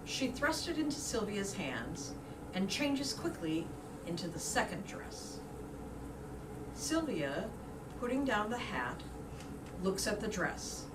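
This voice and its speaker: Lively woman narrator, heard from a distance. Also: medium pitch, takes it slowly, long pauses. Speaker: narrator reading stage directions. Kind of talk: scripted narration. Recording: distant roomy recording with background noise.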